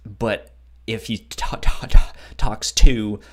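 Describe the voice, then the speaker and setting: Slow, halting man chatting casually. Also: fairly low pitch, steady tone, in a whisper. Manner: halting, often stammers and repeats word starts. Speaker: podcast co-host. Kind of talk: casual book discussion chat.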